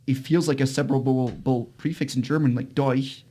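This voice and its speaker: Halting male host, native Portuguese speaker. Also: mid-range voice, steady tone, mellow. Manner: halting, repeats phrases. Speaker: podcast host. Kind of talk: casual interview chat.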